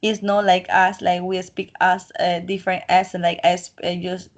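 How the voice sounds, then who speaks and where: A woman's voice: fairly low pitch, flat delivery, moderate pace. Talkative woman with a Colombian accent. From Colombian coast. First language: Spanish. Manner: talkative, rambling, self-assured. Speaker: interviewee. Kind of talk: casual chat.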